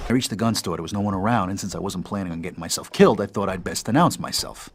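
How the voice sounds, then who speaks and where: An actor playing a jaded American trucker and war veteran, narrating a hard-boiled crime game in a matter-of-fact, world-weary way. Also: male voice, deep voice, racing, over music. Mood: wary. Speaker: narrator and player character.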